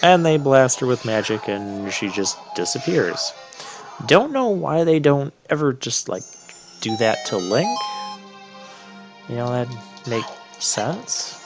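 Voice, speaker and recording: A man's voice: mid-range voice, big pitch swings, slow pace. A man giving casual commentary as he plays through a video game. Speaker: video game playthrough host. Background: video game music.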